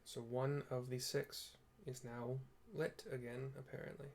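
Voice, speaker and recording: Dude describing game scenes as he plays, mid voice, halting, little bit of noise. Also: medium pitch, little pitch movement, gravelly, darkish tone. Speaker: game streamer narrating a playthrough. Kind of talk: casual descriptive commentary.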